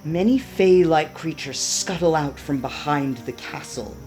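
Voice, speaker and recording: Woman narrator, slow, low pitch, scripted storytelling, radio play. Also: a little mellow. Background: music.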